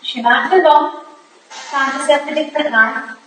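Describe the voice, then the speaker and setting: A woman's voice: high-pitched, a little slow, bright, forceful tone. A woman playing an earnest, loyal young protégée, composed in manner, in a staged drama reading. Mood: earnest concern. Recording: echoey hall, distant mic, faint noise.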